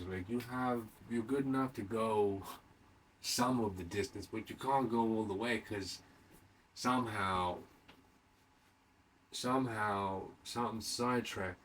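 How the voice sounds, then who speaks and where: A man in his late thirties hosting a solo podcast in a rambling, unscripted monologue, haltingly repeating and rephrasing himself and putting questions to the listener. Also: on the low side, a bit bright. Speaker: podcast host. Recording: distant mic with faint background noise.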